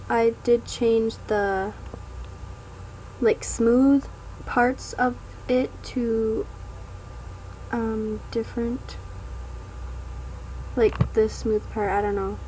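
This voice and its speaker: A woman casually narrating her gameplay voiceover, a bit hoarse from a coming cold, hesitant with many ums and trailing off. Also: a little high, fairly even intonation, drawn-out words, long pauses, a little mellow, slight background noise, low-quality recording. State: hoarse, getting sick. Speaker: gaming vlogger.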